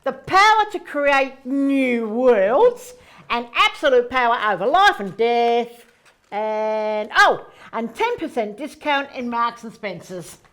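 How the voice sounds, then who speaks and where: Giggly female angel sidekick played by a woman, high-pitched, lively melodic swings, theatrical comedy playing. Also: takes it slowly, heavily acted. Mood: grand announcing. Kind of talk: stage comedy play acting.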